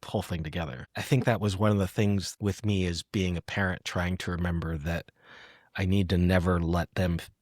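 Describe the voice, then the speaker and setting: A man's voice: low voice, fairly even intonation, fairly quick, darkish tone, no room sound, clean studio sound. A middle-aged man hosting a reflective poetry podcast conversation, musing in sentences that often trail off. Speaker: podcast host.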